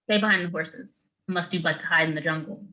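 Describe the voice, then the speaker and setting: A woman's voice: on the low side, quick pace, lo-fi sound, close to an everyday voice. A woman playing a stern, curt bodyguard with a formal manner in a dramatic script reading.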